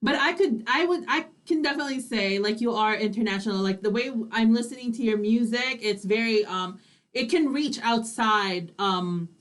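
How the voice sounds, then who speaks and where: A woman's voice: on the high side, normal speed. A woman co-hosting an online radio interview, talking in an effusive, informal way. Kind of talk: casual interview chat.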